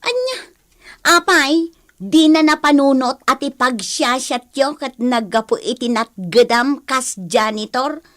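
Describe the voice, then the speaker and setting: A woman's voice: high voice, animated, slow, a bit bright, heavily acted. A woman with an Ilocano accent acting a practical, modest married woman in a radio drama. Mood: questioning.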